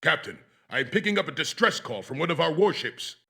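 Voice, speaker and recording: An actor playing a dutiful Klingon bridge officer in a space game, reporting in formal military fashion. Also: male voice, fairly high pitch, sing-song, fairly quick, gravelly, background noise. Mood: urgent. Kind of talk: dramatic sci-fi game acting.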